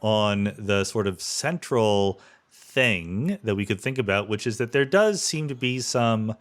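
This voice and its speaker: Podcast host: American man, fifties, lowish voice, conversational, reworks his questions mid-thought. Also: expressive, measured pace. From US East Coast. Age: 50s. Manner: thinks aloud, rephrasing questions as he goes. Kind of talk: conversational interview.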